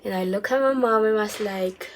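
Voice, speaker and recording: A young woman vlogging, telling a personal story casually, hesitant and full of restarts, dropping into soft whispered asides. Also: mid-range voice, normal speed, slight background noise.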